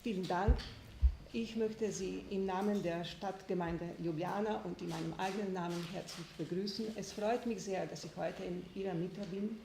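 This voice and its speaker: A woman interprets speeches in a fluent, businesslike way. Her voice is mid-pitched. The echoey room carries clear background noise. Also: steady tone, normal speed. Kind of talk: consecutive interpreting.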